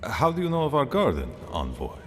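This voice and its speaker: An actor playing a grave, thoughtful council elder in a fantasy game, speaking in a formal, deliberate and solemn way. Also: male voice, medium pitch, huge pitch swings, soft background music. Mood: curious. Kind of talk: dramatic fantasy game acting. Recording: long hall reverb.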